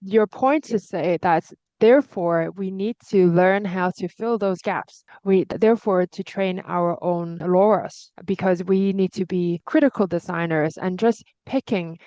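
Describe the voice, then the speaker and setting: A woman's voice: fairly low pitch, measured pace, hardly any pauses, warm tone, no echo. A woman whose first language is Cantonese, hosting a discussion and sharing her reflections thoughtfully, with frequent restarts. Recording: dull lo-fi sound.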